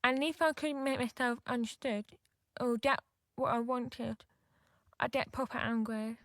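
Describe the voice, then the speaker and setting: High-voiced defiant British teenage girl. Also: fairly even intonation, unhurried, a bit bright, no room sound, studio quality. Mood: confiding, wounded. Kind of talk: radio drama acting with confiding narration.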